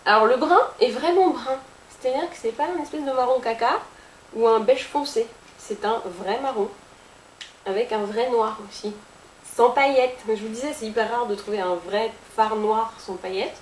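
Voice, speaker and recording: A woman's voice: a little high, normal speed. A woman giving a casual, playful makeup tutorial with a chatty manner. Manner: chatty and playful, with sound effects and jokes. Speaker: beauty vlogger. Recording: echoey room with faint hiss.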